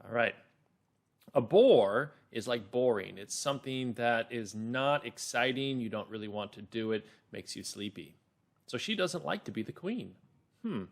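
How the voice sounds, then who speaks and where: A man's voice: mid-range voice, expressive, a little slow, creaky. A man leading a read-along lesson for children, explaining things simply and expressively.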